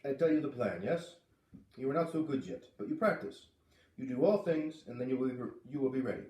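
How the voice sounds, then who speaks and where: A man voicing a small, frail older farm woman with a put-on foreign accent, bossy and brisk, in a roomy room. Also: medium pitch, lively intonation, darkish tone, far from the mic, lightly acted. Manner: brisk, bossy, broken grammar.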